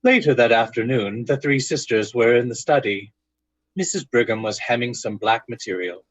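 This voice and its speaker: A man's voice: mid-range voice, normal speed, no echo. A man giving dramatic scripted narration in the style of an old-time radio drama.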